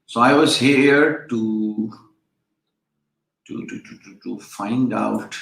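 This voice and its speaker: A man's voice: medium pitch, takes it slowly, vocal fry, fairly bright tone. Chatty man lecturing in an echoey room. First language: Urdu. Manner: rambling, chatty, many fillers and restarts. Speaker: online freelancing instructor. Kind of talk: casual live-stream lecture.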